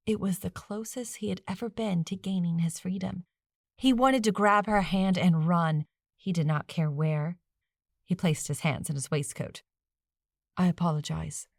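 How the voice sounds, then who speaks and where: Lady reading a novel for an audiobook, lowish voice, very dry recording. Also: no echo. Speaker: audiobook narrator. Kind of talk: scripted fiction narration.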